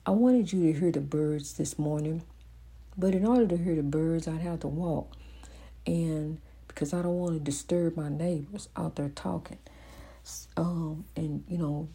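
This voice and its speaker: A woman's voice: low-pitched, dark tone, slightly rough. A woman hosting her own motivational program, giving a rambling, faith-filled monologue that repeats phrases for emphasis, recorded indoors. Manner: rambling, with many fillers and restarts. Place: indoors at home.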